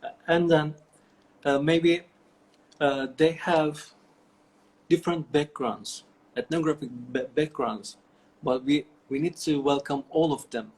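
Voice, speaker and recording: A man's voice: fairly high pitch, fairly even intonation, takes it slowly, pauses often, poor audio. A middle-aged man with a Turkish accent, answering interview questions over a video call, with many fillers and restarts. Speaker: guest. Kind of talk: online interview.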